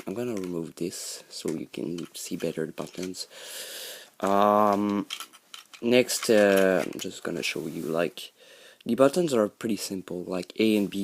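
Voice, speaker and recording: Slow, low-voiced French Canadian man. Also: vocal fry, a little hiss or hum. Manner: hesitant, many ums and restarts. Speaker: hobbyist video maker. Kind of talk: casual show-and-tell tutorial.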